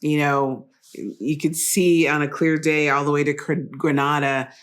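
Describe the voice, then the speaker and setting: A woman's voice: deep voice, fairly even intonation, measured pace. A woman hosting an interview in a casual, conversational style. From Trinidad and Costa Rica background. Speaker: interview host.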